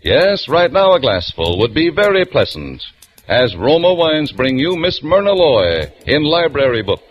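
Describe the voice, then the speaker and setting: A man's voice: a little high, very animated, a little slow, a little hiss or hum. Formal 1940s radio announcer, man. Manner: formal, emphatic delivery. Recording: dull old radio broadcast with music.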